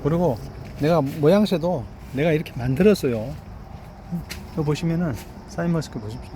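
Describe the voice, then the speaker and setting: A man's voice: fairly high pitch, fairly quick, mellow. A middle-aged grape farmer with a slightly raised male voice talks proudly and boastfully about his crop as he walks through an outdoor vineyard. He keeps urging the viewer to take a look. Faint outdoor noise hums behind him. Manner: proud, boastful, repeats "look here" and asks "eh?". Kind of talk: casual vlog walkthrough.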